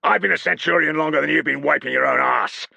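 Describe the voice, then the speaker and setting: Man voicing a fierce old centurion, raised voice, bright tone, snarling. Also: fairly high pitch, fast, vocal fry, breathy edge, no echo, pitched above the performer's own voice, full character voice. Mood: furious. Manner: snarling, shouting at parade ground volume. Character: gruff veteran male Roman centurion, fierce temper.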